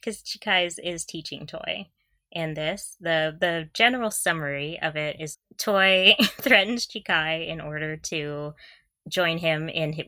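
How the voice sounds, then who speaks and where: A woman's voice: sits low, bright, forceful tone, dry recording. A woman co-hosting a casual fan podcast, bantering in a mock-serious, giggly way. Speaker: podcast co-host.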